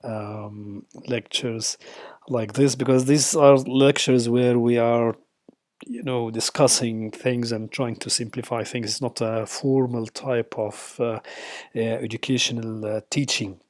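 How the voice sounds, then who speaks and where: A middle-aged man whose first language is Arabic walks through an informal lecture, stopping often on ums and uhs and restarting his sentences. His voice is mellow and relaxed, sitting at an ordinary pitch for a man. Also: a little slow. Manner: hesitant, many fillers and restarts. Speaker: radiation oncology lecturer.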